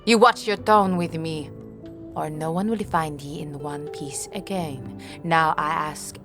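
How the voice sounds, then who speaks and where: Slow, wicked ghoul matriarch. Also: female voice, low-pitched, fairly even intonation, music in the background. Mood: menacing. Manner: slow, taunting, archaic speech mixed with French words. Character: wicked, feral ghoul matriarch, mocking and hungry. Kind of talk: audio drama acting.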